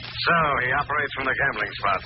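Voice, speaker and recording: An actor playing a sinister, commanding, taunting crime-fighting avenger in a 1940s radio drama. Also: male voice, medium pitch, quick pace, lightly acted. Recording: noisy, muffled vintage radio broadcast recording.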